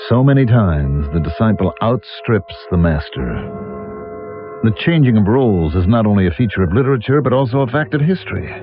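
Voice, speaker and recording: Male actor as proud aging man of letters, low voice, scornful and literary; dull vintage radio broadcast sound. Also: animated, a little fast, over music, lightly acted. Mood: wry, bitter. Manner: haughty, scornful, literary diction. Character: bitter, proud, aging novelist narrating his own downfall. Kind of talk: old-time radio mystery acting.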